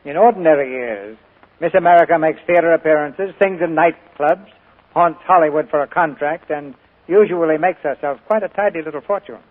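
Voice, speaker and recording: A man's voice: a little high, fairly quick. A middle-aged man hosting a radio show, interviewing a guest in a polished, genial, teasing way. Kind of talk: scripted on-air interview. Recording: tinny 1940s radio broadcast.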